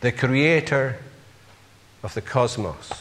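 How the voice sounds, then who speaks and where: A man's voice: medium pitch, expressive, slow pace, a bit bright, long reverb tail. A man preaching a sermon, earnestly putting rhetorical questions to his congregation.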